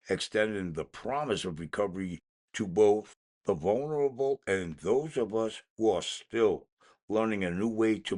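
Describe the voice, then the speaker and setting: Low-voiced man reading a meditation. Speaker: podcast reader. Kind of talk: reading a daily recovery meditation aloud.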